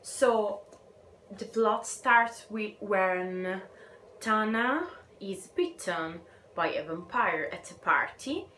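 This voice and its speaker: A woman's voice: mid-range voice, lively intonation, sharp tone. A young Italian woman with an Italian accent, shyly reviewing books in a hesitant vlog and trailing off into whispers. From Italy. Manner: slow, hesitant, shy, drops to a whisper at times. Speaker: book vlogger. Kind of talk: casual book review vlog. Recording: echoey room with faint ambient noise.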